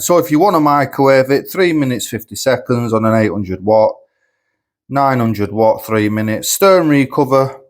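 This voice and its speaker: A man reviewing supermarket food at home, chatty and rambling, sniffly with a cold coming on. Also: lowish voice. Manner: chatty, rambling, repeats himself. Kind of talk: casual food review vlog. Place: home kitchen.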